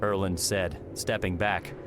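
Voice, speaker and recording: A male narrator reads a story in a fairly low voice. His scripted audiobook delivery sits over clear background music. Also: steady tone, measured pace. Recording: music behind the voice.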